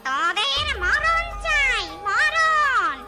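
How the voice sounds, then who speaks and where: A sly cartoon fox wife with a menacing, ghostly streak. Her voice is extremely high and theatrical, over loud jingly children's music. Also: sing-song, heavily acted. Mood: eerie menace. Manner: drawn-out, theatrical.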